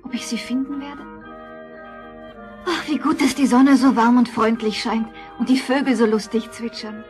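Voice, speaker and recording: An actress playing a gentle, meek orphan girl in a fairy-tale audio play, sweet and earnest. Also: fairly high pitch, steady tone, normal speed, soft background music, natural voice. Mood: wistful. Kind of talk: German fairy-tale audio drama acting.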